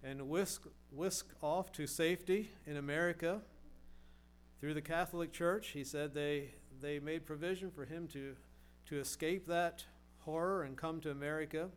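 Earnest middle-aged preacher posing rhetorical questions. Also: male voice, on the high side, fairly even intonation, moderate pace. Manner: earnest, asks rhetorical questions, repeats words. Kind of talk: sermon.

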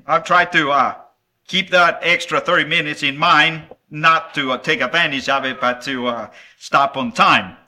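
Preacher, middle-aged man from El Salvador, friendly chatty revival sermon, voice a little high and very bright. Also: unhurried. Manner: friendly, conversational, with small laughs and fillers. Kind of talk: revival sermon with children's object lesson.

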